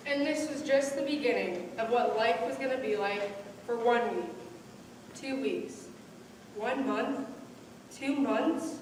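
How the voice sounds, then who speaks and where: Woman as stage play narrator, high voice, plain delivery, far off in a big hall. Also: slow pace, long pauses, darkish tone. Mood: matter-of-fact. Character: female narrator, plainly recounting hard times. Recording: echoey hall from far off, faint noise.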